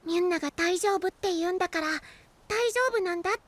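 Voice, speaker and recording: A man's voice: very high voice, fast, fairly bright tone, no room sound. A man voicing a small, earnest boy knight in an anime-style audio drama, cute, polite and eager. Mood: earnest, troubled. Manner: cute, polite, bubbly, refers to self by name. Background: background music.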